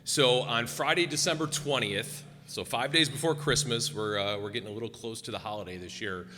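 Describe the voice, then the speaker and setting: A man's voice: medium pitch, fairly quick, sharp tone, long reverb tail. A man casually announcing upcoming shows with many fillers, to a live audience. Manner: hesitant, with fillers. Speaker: troupe member announcing shows. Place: stage before a live audience.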